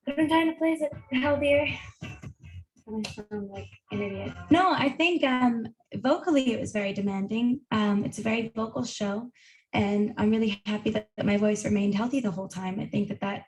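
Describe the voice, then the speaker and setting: A woman singer gives a casual interview in a slightly raspy voice at an ordinary pitch. She talks loosely and self-consciously, her sentences full of "like". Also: normal speed, a little mellow, a little hiss or hum, low-quality recording.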